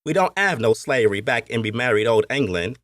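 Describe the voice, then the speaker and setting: A man's voice: medium pitch, expressive, fairly quick, fairly bright tone, dry recording, close to an everyday voice. A man voicing a boisterous English working man with a Cockney accent, speaking brash and forthright.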